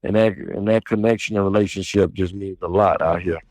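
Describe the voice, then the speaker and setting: A man's voice: sits low, fairly even intonation, brisk, a little mellow, no echo, low-quality recording. A man in his thirties, a truck driver, chatting casually and loosely as an interview guest. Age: 30s. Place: outdoors at a trick-or-treat event.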